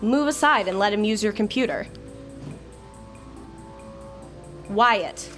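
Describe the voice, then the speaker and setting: A woman playing an exasperated, sharp-tongued young woman in a comedic audio drama. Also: medium pitch, expressive, moderate pace, faint music behind, close to an everyday voice. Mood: dry.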